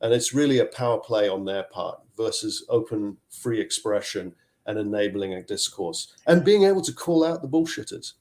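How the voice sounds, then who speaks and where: A man's voice: on the low side, lively intonation, normal speed, darkish tone. A middle-aged man from Zambia, a tech executive, talking on a video call interview and often repeating words as he searches for phrasing. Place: home office.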